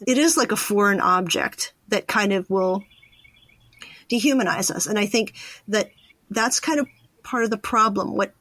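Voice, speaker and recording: A woman's voice: medium pitch, moderate pace, a bit bright, no echo. A woman hosting a podcast in a casual, reflective conversation, speaking informally and thinking aloud from personal experience. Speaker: podcast host.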